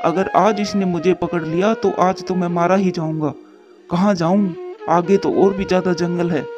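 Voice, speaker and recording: A man's voice: high-pitched, fairly even intonation, moderate pace, lightly acted. A man voicing a caring, anxious father pigeon devoted to his child in a moral-story cartoon, on a muffled track. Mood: frightened. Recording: dull muffled studio track, music behind.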